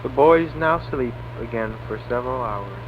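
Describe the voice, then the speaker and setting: A man's voice: mid-range voice, expressive, moderate pace, background noise. A man narrating a scripted horror story in a stilted, formal read delivery. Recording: dull lo-fi recording under loud music.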